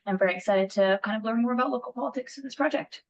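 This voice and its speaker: A woman from New York City, a policy student, introducing herself in a brisk, friendly way. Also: fairly high pitch, highs cut off. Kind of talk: self-introduction at a meeting.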